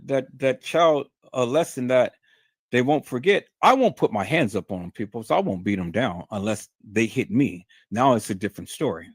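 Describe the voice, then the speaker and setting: A man's voice: mid-range voice, no room sound. A man hosting a livestream, talking in a stern, emphatic, lecturing way to his viewers. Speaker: livestream host. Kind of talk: unscripted livestream monologue.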